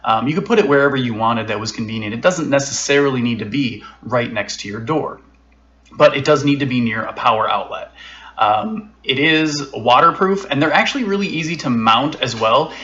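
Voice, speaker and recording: Bright-voiced man casually reviewing gadgets. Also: medium pitch, a little fast, lo-fi sound. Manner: relaxed, loose with fillers and restarts. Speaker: tech reviewer.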